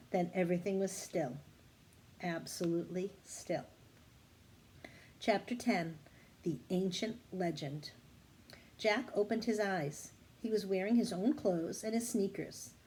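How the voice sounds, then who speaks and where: A woman's voice: medium pitch, a little mellow, slight background noise. A woman reading a children's storybook aloud expressively, now and then correcting a stumble. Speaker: story reader.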